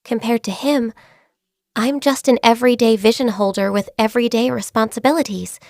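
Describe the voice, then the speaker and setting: Casting: actress as a high-voiced, shy, dutiful young game secretary, soft and earnest, dry studio read. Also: female voice, steady tone, brisk, dry recording, lightly acted. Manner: soft-spoken, earnest, a little hesitant. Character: gentle, shy, diligent young female secretary. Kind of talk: video game character voice lines. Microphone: close studio mic.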